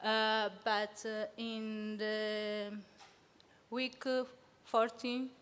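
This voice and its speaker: A woman's voice: on the high side, flat delivery, extremely slow, a bit bright, clean tone. Nervous woman presenting in a reverberant hall. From São Tomé and Príncipe. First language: Portuguese. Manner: hesitant, many fillers, nervous. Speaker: conference presenter. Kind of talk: academic talk. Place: conference hall.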